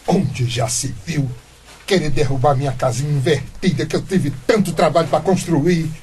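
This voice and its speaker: A man's voice: fairly high pitch, normal speed, a little mellow, faint music behind, close to an everyday voice. An actor playing a stubborn, indignant small-town man with grandiose political dreams in a Brazilian comic radio soap, blustering theatrically. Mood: aggrieved.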